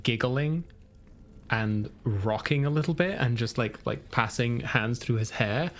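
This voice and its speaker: A man's voice: medium pitch, a little slow. A man improvising narration of his character in a tabletop game, casual, rambling and repetitive. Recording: music and guitar behind voice.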